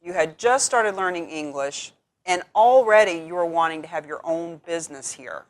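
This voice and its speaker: A woman's voice: lowish voice, moderate pace. A woman hosting a talk show interview, encouraging her guest and summing up her story.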